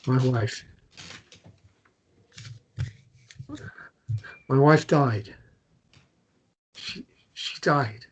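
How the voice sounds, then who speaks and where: A man acting a guilt-wracked, volatile grieving widower at a table read, halting and repeating his phrases. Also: medium pitch, slight background noise. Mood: stunned grief. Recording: dull lo-fi sound.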